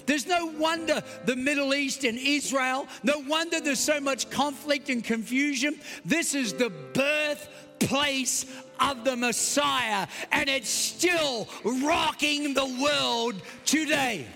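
Aussie pastor guy, high bright voice, soft music behind, big echoey church. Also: slow, vocal fry. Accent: Australian. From United States. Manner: emphatic, dramatic swings between loud and soft. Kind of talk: Christmas Eve sermon. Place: large church hall. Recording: long hall reverb.

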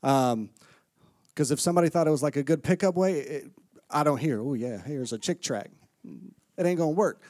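Casual middle-aged preacher, dry close sound. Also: male voice, fairly high pitch, moderate pace, pauses often, darkish tone, no echo. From Florida, US. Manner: conversational, self-deprecating humor, reads scripture aloud. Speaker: guest preacher. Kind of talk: sermon. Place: church sanctuary with congregation.

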